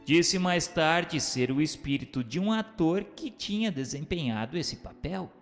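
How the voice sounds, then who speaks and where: Animated male narrator in a reverberant hall. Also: a little high, a little slow. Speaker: narrator reading questions and notes. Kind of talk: scripted narration of a spiritist book. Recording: long hall reverb, music bed.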